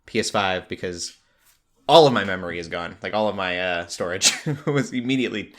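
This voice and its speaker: Low-pitched man chatting casually about gaming. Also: animated, brisk, fairly bright tone. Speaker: podcast co-host. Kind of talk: casual gaming news chat.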